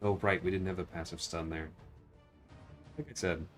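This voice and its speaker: A man's voice: low voice, steady tone, moderate pace, soft background music. A man livestreaming a game, giving casual commentary and explaining things in detail, often checking in with "right?". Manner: explanatory, tags points with "right?".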